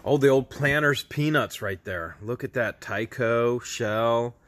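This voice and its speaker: A man in his thirties hosting a casual unboxing, talking in an excited, playful, teasing way into a handheld phone inside a large storage warehouse. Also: medium pitch, a little slow. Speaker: host.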